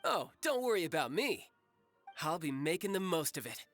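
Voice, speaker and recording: A man's voice: fairly high pitch, sing-song, normal speed, fairly bright tone, faint music behind. An actor playing a cocky, battle-loving young man in a video game, with a breezy, teasing manner. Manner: breezy, teasing, smooth-talking. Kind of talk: English video game voice acting.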